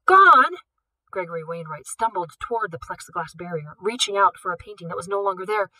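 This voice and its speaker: A woman's voice: medium pitch, animated, fairly quick, no room sound, recorded from a distance, poor audio. A woman, a teacher, reading a novel aloud, lifting her voice for the characters' lines. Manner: shifts up and louder for dialogue.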